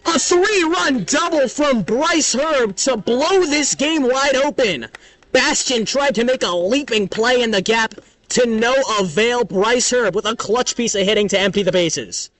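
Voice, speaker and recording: A man's voice: extremely high pitch, animated, a bit bright. A young man calling baseball play-by-play in a radio commentary style, stretching big moments dramatically. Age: young adult. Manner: dramatic, drawn-out calls on big plays.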